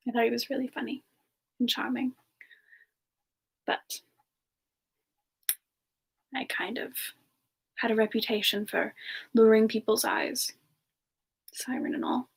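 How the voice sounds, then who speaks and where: A woman playing a bashful, soft-spoken siren ice spirit, telling her story slowly and hesitantly in a semi-ASMR roleplay. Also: a little high, full character voice, poor audio. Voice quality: soft, breathy, slightly creaky. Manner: slow, hesitant, reminiscing with pauses.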